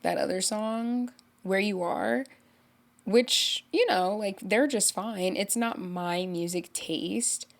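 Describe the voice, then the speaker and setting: A woman's voice: medium pitch, slow, creaky. A young woman hosting a podcast, congested from illness, chatting casually and rambling with lots of "like" as she tells a story. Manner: rambling, heavy use of "like", animated storytelling. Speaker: podcast host. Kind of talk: casual solo chat.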